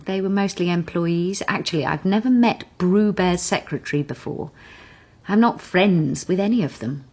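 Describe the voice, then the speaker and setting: An actress playing an aloof, snobbish wealthy widow in a radio drama, cool, languid and evasive. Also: female voice, fairly low pitch, moderate pace, darkish tone.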